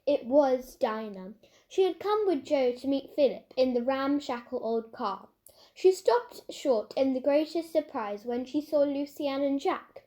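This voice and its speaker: A woman reading a children's story aloud in an expressive narrating style. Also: high-pitched, a little slow. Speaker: storybook reader.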